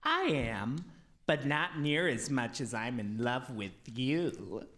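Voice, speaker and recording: A woman's voice: very deep voice, very animated, slow pace, fairly bright tone, exaggerated voice. A woman playing a plucky, slightly cocky young servant lad in a radio play, easygoing and innocent-sounding. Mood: tender.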